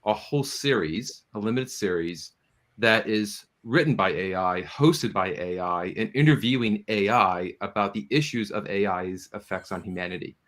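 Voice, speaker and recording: A man speaking as a producer on a panel, telling stories in an animated, jovial, casual way. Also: lowish voice, moderate pace.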